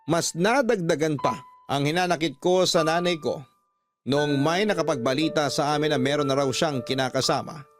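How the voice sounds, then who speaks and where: A man's voice: on the high side, moderate pace, music in the background. A man reading a scripted first-person story as a radio storyteller.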